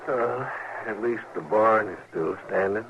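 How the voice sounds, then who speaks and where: A man with a rural American accent playing an earnest, shaken frontier settler in an old-time radio drama, talking in halting, repetitive, folksy phrases. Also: on the low side. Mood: subdued, uneasy. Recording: muffled, faintly noisy vintage radio recording.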